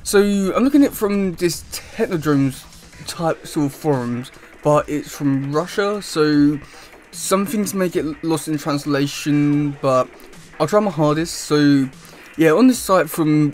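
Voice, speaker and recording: A young man chatting casually to camera as a YouTube host, with a halting, wordy delivery. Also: on the high side. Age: young adult. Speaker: YouTube host reviewing comic news. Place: small room indoors at home.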